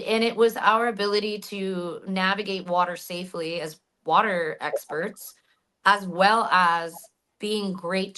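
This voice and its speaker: A woman guest talking casually in an interview, animated, with frequent fillers and restarts. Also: medium pitch. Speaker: guest, stunt actress and hypnotherapist.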